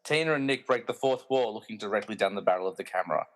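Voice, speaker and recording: A man's voice: on the low side, animated, quick pace. A man narrating a scripted comic radio play with wry, self-aware delivery.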